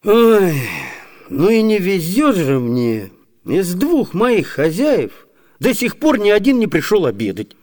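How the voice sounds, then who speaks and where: An actor playing a fretful, scheming comic manservant in a classic comedy radio play. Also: male voice, high voice, big pitch swings, slow pace, a little mellow, exaggerated voice. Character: scheming, comic male servant of two masters.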